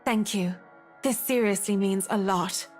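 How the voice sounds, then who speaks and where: A woman's voice: mid-range voice, unhurried, soft background music, natural voice. A woman voicing an earnest, grieving young heroine in a visual novel game. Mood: earnest pleading.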